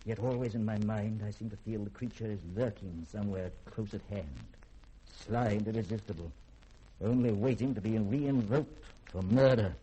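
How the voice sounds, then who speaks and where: An actor playing a learned, secretive middle-aged scientist in a 1950s radio drama, speaking in formal, polished, theatrical sentences with a husky edge. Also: male voice, fairly low pitch, fairly even intonation, normal speed, dark tone, slight background noise, lightly acted, rough recording. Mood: grave, ominous.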